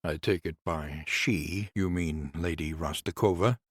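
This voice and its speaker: A man playing a calm, watchful investigator who speaks low and measured in an audiobook. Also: dry recording, studio quality. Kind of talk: audiobook dialogue.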